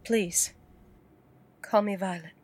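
A woman voicing a guarded femme fatale from a noir audio drama. She speaks very slowly, in a husky, breathy voice, each word kept soft and measured. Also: mid-range voice. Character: guarded, alluring woman client with a dangerous past.

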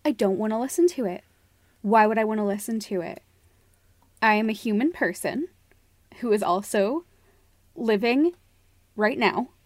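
A woman's voice: a little high, moderate pace, pauses often, dry recording. A woman co-hosting a podcast in casual, conversational discussion. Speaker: podcast co-host.

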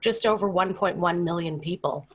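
A woman in her forties from Canada, with a Canadian accent and a slightly low voice. She speaks as an interview guest, giving casual, conversational answers. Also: moderate pace, slightly rough. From Calgary, Canada. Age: 40s.

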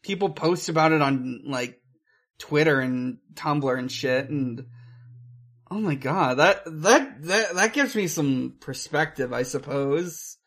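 Slow, halting podcast co-host. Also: medium pitch, expressive, fairly bright tone. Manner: halting, hesitant delivery with casual swearing. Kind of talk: casual film discussion.

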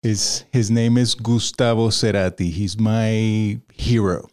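Mellow-voiced Venezuelan man, rambling chat. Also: on the low side, fairly even intonation, takes it slowly, clean tone. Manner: rambling, many fillers and restarts, laughs often. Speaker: podcast guest, freelance video producer. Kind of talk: casual interview chat.

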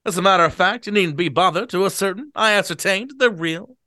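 A man playing an excitable drunk old-fashioned gent. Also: high-pitched, fairly quick, no echo, studio quality, voice pushed up. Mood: excited, triumphant. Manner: loud, excited, repeats himself. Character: stout drunk middle-aged man, excitable and rambling. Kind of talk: reading a novel aloud.

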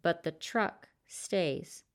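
A woman voicing a laid-back man guarding a bridge, speaking calmly in a casual drawl. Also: on the high side, very slow.

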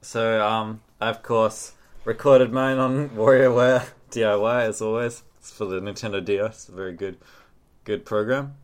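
A man's voice: lowish voice, fairly even intonation, normal speed. A man chatting casually and jokingly as a podcast co-host. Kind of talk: casual comic chat with co-host.

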